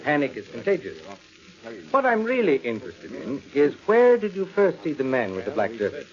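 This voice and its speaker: Analytical doctor, questioning, animated intonation, 1940s radio drama style, dull old recording. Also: male voice, a little high, normal speed. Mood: calm, probing. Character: rational, probing doctor calming a frightened patient. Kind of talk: 1940s radio suspense acting.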